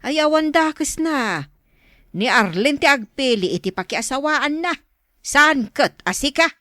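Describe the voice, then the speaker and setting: Woman acting an animated, nagging, sensible mother. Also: sits high, a little slow, no room sound, studio quality, heavily acted. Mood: reproving. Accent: Ilocano. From Philippines. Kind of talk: Ilocano radio drama acting.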